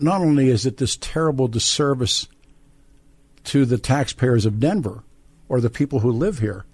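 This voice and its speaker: A man's voice: mid-range voice, a little mellow, breathy edge, no echo. An older man hosting live call-in talk radio, speaking in a blunt, opinionated way. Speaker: radio talk show host. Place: radio studio, dry close mic.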